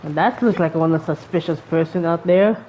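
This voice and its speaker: A woman's voice: fairly low pitch, a little fast, mellow, faint music behind. A woman giving casual, offhand, sweary commentary as she plays a video game. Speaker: game streamer. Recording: dull lo-fi mic, faint noise.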